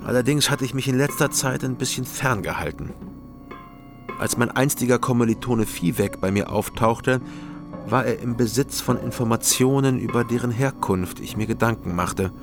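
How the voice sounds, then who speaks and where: An actor playing a troubled, gentle loner in a radio crime drama, speaking in an understated, slightly hesitant way. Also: male voice, medium pitch, normal speed, over music, close to an everyday voice. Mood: reflective. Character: awkward, troubled loner man, gentle with a child. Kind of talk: German radio crime drama acting and narration.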